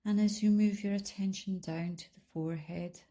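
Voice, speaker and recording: A woman leading a guided body scan meditation in a calm, soothing, unhurried manner, leaving long pauses between instructions. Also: medium pitch. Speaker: meditation guide, life coach.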